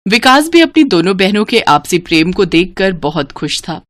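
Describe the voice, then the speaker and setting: A woman's voice: mid-range voice, very animated, normal speed, dry recording, clean studio sound. A woman reading a scripted story as its narrator.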